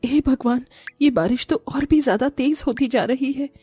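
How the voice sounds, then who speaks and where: A woman's voice: sits high, normal speed, dark tone, breathy edge, no echo, faint music behind. A woman voicing a poor, long-suffering, devoted mother in a cartoon story, sorrowful and plaintive. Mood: worried, despairing.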